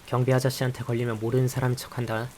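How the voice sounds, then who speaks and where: A man playing an exasperated male high school student in a visual novel, blunt, dry and sarcastic, with a sighing delivery. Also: very fast, close to an everyday voice. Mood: grumbling, annoyed. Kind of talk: visual novel voice acting with some first-person narration. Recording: hiss with steady rain behind.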